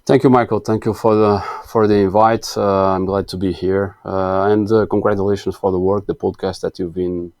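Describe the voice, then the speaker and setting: A man's voice: deep voice, flat delivery, moderate pace, few pauses, darkish tone, no echo. A man in his thirties from Portugal with a Portuguese accent, a guest engineer in a casual interview, hesitant with many fillers and restarts. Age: 30s.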